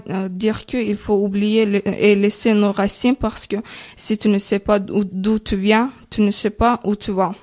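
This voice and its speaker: A woman's voice: mid-range voice, a little mellow. A young woman from Azerbaijan presenting a prepared personal testimony on a youth radio show, speaking a language that is not her native one. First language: not French.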